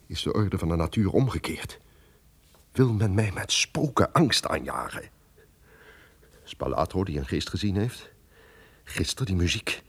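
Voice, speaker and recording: An actor playing a tyrannical, haunted duke in a theatrical, declamatory radio drama style. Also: male voice, sits low, slightly rough, heavily acted. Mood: brooding dread. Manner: theatrical, declamatory, swinging from menace to dread. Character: villainous murderous duke, tyrannical, haunted and raging. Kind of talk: old radio drama acting.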